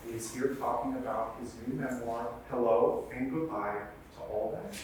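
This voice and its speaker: A man's voice: mid-range voice, steady tone, normal speed, a little hiss or hum. A man who directs programs, giving a chatty, joking introduction at a library event. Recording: very echoey room, from far off.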